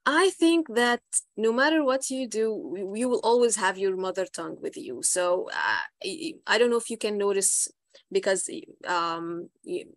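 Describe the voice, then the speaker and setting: A woman's voice: medium pitch, expressive, normal speed, no echo. Young Egyptian-accented woman, English teacher, encouraging, dry close mic. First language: Arabic. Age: young adult. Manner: encouraging, advice-giving. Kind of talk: video call conversation.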